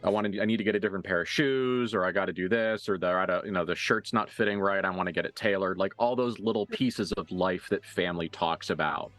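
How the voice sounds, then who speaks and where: A man's voice: deep voice, fast talker, hardly any pauses, fairly bright tone, no room sound, soft background music. A man acting as game master, improvising narration for a tabletop roleplaying game in a casual, mildly profane way.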